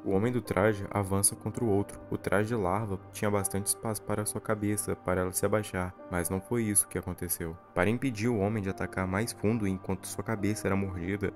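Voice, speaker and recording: A man's voice: lowish voice, fairly even intonation, fast talker, few pauses, music in the background. A man with a Brazilian accent reading a scripted narration that recaps a horror series. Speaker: video narrator.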